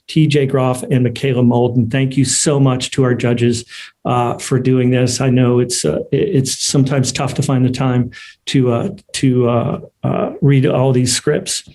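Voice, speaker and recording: A man hosting an online ceremony in an unscripted, halting way. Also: medium pitch, flat delivery, a little mellow. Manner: halting, hesitant delivery. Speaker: host of an online award ceremony. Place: remote video call.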